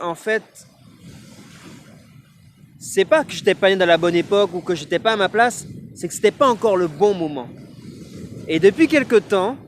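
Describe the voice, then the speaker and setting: A man with a high voice speaks reflectively outdoors, pausing often and hunting for the right words. Faint wind and passing traffic sit behind him on the recording. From Martinique. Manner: reflective, searching for words with many fillers. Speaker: vlogger. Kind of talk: casual personal vlog monologue.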